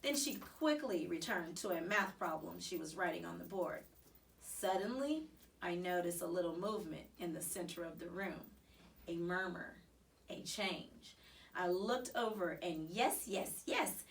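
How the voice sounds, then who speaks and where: A woman reading a children's story aloud in an expressive, animated way with dramatic emphasis. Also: fairly low pitch, measured pace, creaky, recorded from a distance. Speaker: storybook reader.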